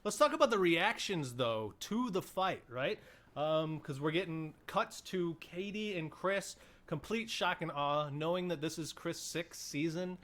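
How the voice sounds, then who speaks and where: A man's voice: on the high side, a bit bright. A man co-hosting a podcast, a speech coach, asking playful, probing questions in casual chat.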